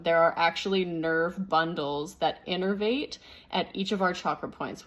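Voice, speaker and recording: Woman, slightly low pitch, spiritual teacher, explanatory, unscripted, measured phrases.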